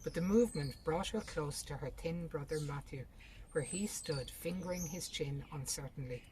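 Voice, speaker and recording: A woman's voice: deep voice, moderate pace, dark tone, a little hiss or hum, rough recording. A woman reads a literary short story aloud in narration.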